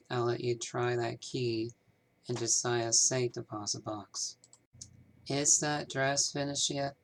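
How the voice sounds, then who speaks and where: A man voicing an evasive, troubled small-town bank manager in a game, speaking in a measured, formal way. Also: medium pitch. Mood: businesslike. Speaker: amateur voice actor. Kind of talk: scripted game character dialogue, fan performance.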